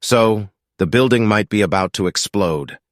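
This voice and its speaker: A man voicing a tense, commanding security operative who is trying to keep people calm in an audiobook story. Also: on the low side, moderate pace, no echo, studio quality, lightly acted. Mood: forced calm. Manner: urgent, commanding. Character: tense male security operative, gruff, urgent, forcing calm.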